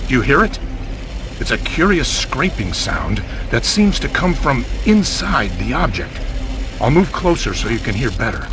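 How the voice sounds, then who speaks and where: A man playing an earnest, excitable American radio news reporter in a drama, stammering as he describes the scene urgently. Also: medium pitch, moderate pace, noisy background, natural voice. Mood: intrigued, urgent.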